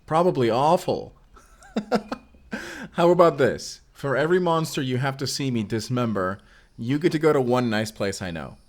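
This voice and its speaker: A man's voice: mid-range voice, animated, moderate pace, natural voice. A man acting a mysterious vampire slayer, earnest and wryly awkward, in an intimate audio roleplay with casual, confiding delivery. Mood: amused, teasing. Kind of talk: cinematic audio roleplay acting, intimate one-sided dialogue.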